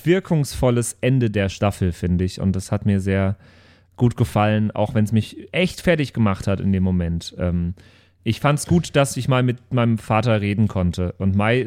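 A man's voice: lowish voice, lively intonation, moderate pace, a little mellow. A man chatting casually and reflectively as he recaps a role-play game with friends, in a rambling way. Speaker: podcast player recapping his tabletop role-play character.

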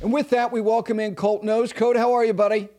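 High-pitched male interview host, emphatic delivery, halting restarts. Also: level tone, loud music behind the voice. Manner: emphatic, halting restarts when asking questions. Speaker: podcast host. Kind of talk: interview hosting.

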